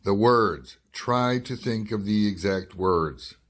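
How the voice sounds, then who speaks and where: A hard-boiled male reporter played by a man with a low, deep voice in a radio detective play. He is dogged and probing, with a wry touch. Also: takes it slowly. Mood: pressing. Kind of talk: radio detective drama acting and first-person narration.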